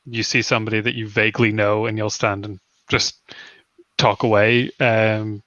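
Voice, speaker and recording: A man's voice: on the low side, little pitch movement, normal speed, sharp tone, no room sound. A young man chatting casually as a stream guest, full of fillers. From Northern Ireland. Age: young adult. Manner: rambling with many fillers. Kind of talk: casual chat. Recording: dull, slightly lo-fi mic.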